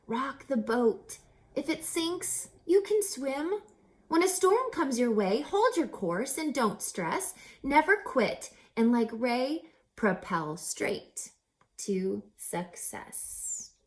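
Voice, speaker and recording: A woman's voice: high voice, very animated, slow. A woman reading a children's story aloud as a teacher, dramatic and emphatic, putting rhetorical questions to her listeners. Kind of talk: expressive children's read-aloud with teacherly asides.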